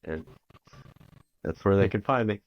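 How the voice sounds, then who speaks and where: A man with a deep voice speaks as a podcast guest in a relaxed, offhand way. His voice sounds dull and muffled, as if he sits away from the microphone. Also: a little slow. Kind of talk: casual interview chat.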